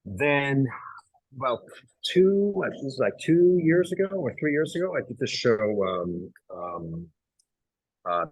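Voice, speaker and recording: A man's voice: mid-range voice, a little slow, no room sound, low-quality recording. A middle-aged man from Hong Kong, an actor and writer, telling stories in a casual interview with lots of fillers and restarts. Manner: animated storyteller, many fillers and restarts.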